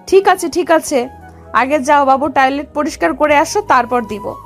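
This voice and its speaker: A woman's voice: high voice, normal speed. A woman playing a bossy, short-tempered young wife in an audio story. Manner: commanding, scolding. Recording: music behind the voice.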